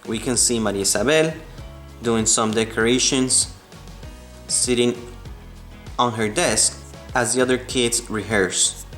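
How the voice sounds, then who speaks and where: A man reading a children's book aloud in a measured way, stumbling now and then. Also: medium pitch, fairly bright tone. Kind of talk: storybook read-aloud. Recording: roomy echo, music behind the voice.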